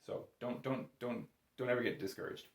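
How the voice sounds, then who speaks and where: A man's voice: mid-range voice, steady tone, fairly quick. A man giving an informal, step-by-step music lesson in a patient, encouraging manner. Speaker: bagpipe instructor. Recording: roomy, echoey indoor sound.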